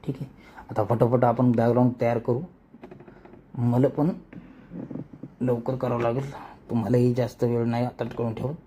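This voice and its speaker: A man's voice: mid-range voice, little pitch movement, moderate pace, pauses often, dark tone, slight background noise. A man with a rural Marathi accent from Maharashtra, giving a casual, colloquial step-by-step tutorial and often repeating words as he demonstrates. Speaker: animation tutorial creator.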